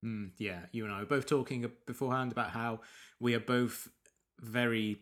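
A man's voice: mid-range voice, fairly even intonation, normal speed, a bit bright. A man hosting a casual film podcast, a little sniffly from allergies, rambling with many restarts. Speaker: podcast host.

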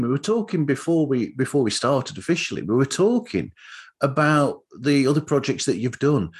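A man hosting a casual interview, talking in a rambling, enthusiastic way. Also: on the high side. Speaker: podcast host interviewer.

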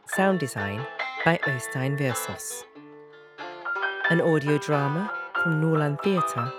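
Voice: medium pitch, expressive, slow pace. A narrator reading a scripted, factual introduction and historical commentary for an audio drama. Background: plucked guitar music.

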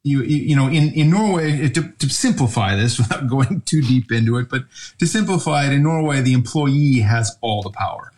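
Rambling American man, mid pitch. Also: animated, fairly quick, hardly any pauses, a little mellow. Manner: rambling, with many restarts and fillers. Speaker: podcast guest, brewery owner. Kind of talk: casual interview conversation.

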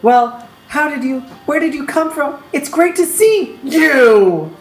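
A woman's voice: high-pitched, fairly even intonation, moderate pace, soft background music, natural voice. A woman voicing an earnest young lioness, astonished and relieved, in a comic script read. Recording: echoey room with faint noise.

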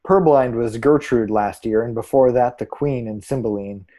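Casting: actor as measured theatre pundit, male, dark relaxed voice, analytical, easy scripted banter. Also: mid-range voice, lightly acted. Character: measured, analytical co-host giving casting odds. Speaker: co-host of a theatre prediction show. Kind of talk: scripted podcast banter and commentary.

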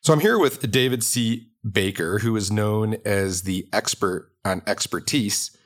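A male podcast host with a fairly low voice, earnest in manner. He reads a prepared introduction, then asks questions in a conversational way, sometimes restarting mid-sentence. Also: a little slow.

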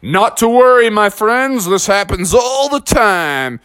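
A man's voice: high-pitched, lively intonation, slow, a bit bright, clean tone, dry recording, pitched above the performer's own voice, full character voice. A man voicing a reassuring bear police officer in a children's story, official and folksy.

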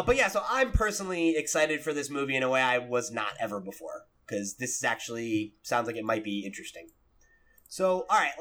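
Bright-voiced young man chatting casually. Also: fairly high pitch. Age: young adult. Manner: frequent "like" and "you know", profane. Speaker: podcast host. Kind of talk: casual chat about games and films.

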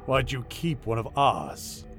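An actor playing a smug, menacing traitor soldier in an audio drama, condescending and deliberate. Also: male voice, medium pitch, sing-song, fairly bright tone, soft background music. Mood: smug menace.

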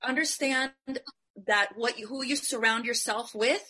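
Woman from Colombia, business panelist; punchy, motivational delivery, sharp bright tone. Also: a little high, unhurried, husky. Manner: emphatic, motivational, animated. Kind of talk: live online panel discussion.

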